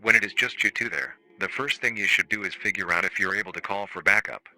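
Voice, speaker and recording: A man playing a formal, matter-of-fact research-facility narrator on an analog horror staff training tape, calmly chilling and euphemistic. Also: fairly low pitch, quick pace, poor audio. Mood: politely menacing.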